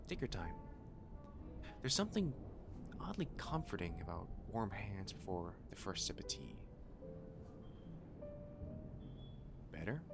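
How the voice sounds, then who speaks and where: A man acts out a composed, thoughtful catboy waiter in a cafe ASMR roleplay. His voice sits fairly low but moves expressively, gentle and reassuring, over clear background music. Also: full character voice. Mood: caring. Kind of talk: ASMR roleplay, addressing the listener. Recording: music behind the voice.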